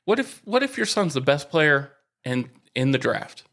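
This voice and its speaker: A man's voice: on the high side, big pitch swings, moderate pace, fairly bright tone. An American man co-hosting a sports podcast, arguing his points emphatically in casual, informal banter.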